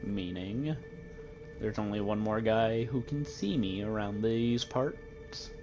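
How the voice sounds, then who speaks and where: Giggly Midwestern American streamer. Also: male voice, fairly low pitch, takes it slowly, over music. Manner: playful, giggly, joking with chat. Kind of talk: casual gameplay commentary.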